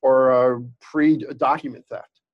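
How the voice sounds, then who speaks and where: A man of middle pitch speaks with a slight stutter, often restarting words. He explains legal examples in a conversational interview manner. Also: steady tone, takes it slowly, lo-fi sound. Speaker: employment lawyer, guest.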